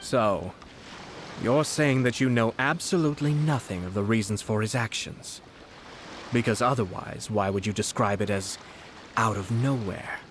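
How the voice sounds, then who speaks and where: A composed young nobleman in a video game, played by a male actor, speaking in a low voice. He is formal, eloquent and measured, with courteous words and a slyly mocking edge. Faint ambient noise sits behind him. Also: lightly acted. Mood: cool, probing.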